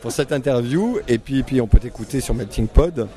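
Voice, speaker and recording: A man's voice: medium pitch, normal speed, crowd noise. A man from Marseille without a local accent, hosting a casual street interview, hesitant and full of fillers. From Marseille, France. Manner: hesitant, many fillers and restarts, warm laugh. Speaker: podcast host.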